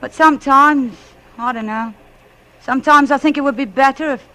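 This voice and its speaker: A woman's voice: sits high, normal speed, fairly bright tone, rough recording. An actress playing a weary, fussy working-class English widowed mother in an old radio drama, deferential and folksy. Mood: wistful, weary. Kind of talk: 1940s radio acting.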